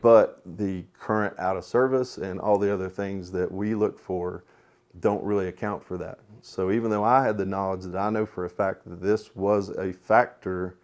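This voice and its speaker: A man with a low voice speaks as an instructor in a training talk. He is plain-spoken and methodical, laying out his explanation step by step. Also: darkish tone. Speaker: police sergeant and crash investigator, instructor.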